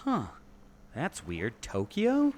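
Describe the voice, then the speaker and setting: A man acting an eager, clue-spotting adventurer in a scripted radio play, expressive and theatrical. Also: fairly high pitch, takes it slowly, a little hiss or hum, heavily acted. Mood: curious.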